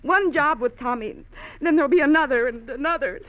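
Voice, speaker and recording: Tender, anxious wife , played by a woman, slightly high voice, tinny old radio. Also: fairly quick, lightly acted. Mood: pleading. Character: loving, worried young wife. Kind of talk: 1950s radio drama acting. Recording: tinny vintage radio broadcast.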